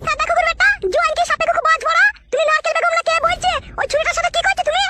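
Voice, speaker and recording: A woman's voice: very high voice, fairly even intonation, very fast, heavy background noise. A woman voicing a brash, nagging middle-aged village mother in a bawdy cartoon. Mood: angry accusing. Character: shrill, foul-mouthed, scolding middle-aged village mother. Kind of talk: bawdy cartoon comedy acting.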